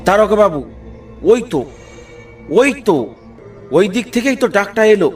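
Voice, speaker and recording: A man voicing an excitable, easily frightened villager who guides hunters in a cartoon. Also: high-pitched, moderate pace, recorded from a distance, music in the background. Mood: alarmed. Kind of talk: cartoon voice acting.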